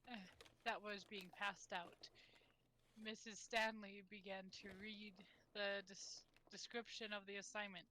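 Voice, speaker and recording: A woman's voice: mid-range voice, sharp tone, slight background noise, rough recording. A woman reading fan fiction aloud at home, self-conscious and giggly. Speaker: reader in a group reading. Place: home, with a roommate nearby.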